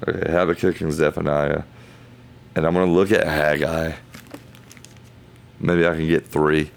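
A man with an extremely deep, heavily gravelly voice talks through scripture in a casual podcast. His delivery is flat and halting as he thinks aloud in loose phrases. He sounds hoarse, as if his voice is going. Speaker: podcast host. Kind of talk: casual Bible study talk.

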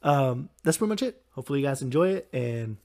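Hoarse young man, solo podcast host, halting restarts, chuckles between thoughts. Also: medium pitch, expressive, darkish tone. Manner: rambling, halting, frequent restarts; chuckles often. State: hoarse, recovering voice. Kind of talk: casual solo podcast talk.

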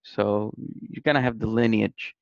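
Man, gravelly, hesitant guest, dry recording. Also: on the low side, steady tone, a little slow, no echo. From Puerto Rico. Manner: hesitant, many fillers and repeated words. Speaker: podcast guest. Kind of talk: casual conversation. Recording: dull, dry lo-fi sound.

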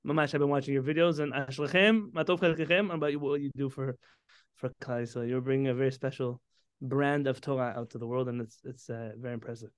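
A man in his thirties from San Diego, a rabbi, telling his story in an animated way with Hebrew terms and frequent restarts. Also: mid-range voice, quick pace, clear, resonant tone, no echo. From San Diego, California. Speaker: podcast guest, rabbi. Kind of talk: conversational interview storytelling.

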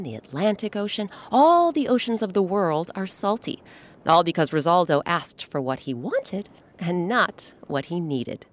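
A woman narrating a scripted children's story as host, with dramatic, expressive, deliberate phrasing. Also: lowish voice, slight background noise. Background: music.

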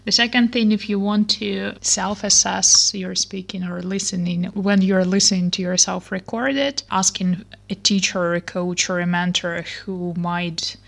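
A woman in a casual discussion, speaking reflectively and pausing to search for words. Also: medium pitch, fairly even intonation, creaky.

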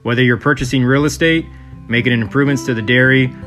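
A man reading a scripted advertisement in a steady announcer's delivery. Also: mid-range voice, fast. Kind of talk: radio ad read. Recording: ad with music bed. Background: guitar music.

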